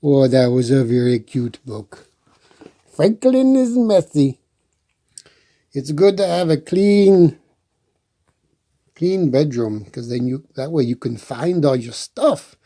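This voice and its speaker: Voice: mid-range voice, animated, slow pace, pauses often, dark tone. A storytime host reading a picture book aloud and adding playful, rambling, chatty asides.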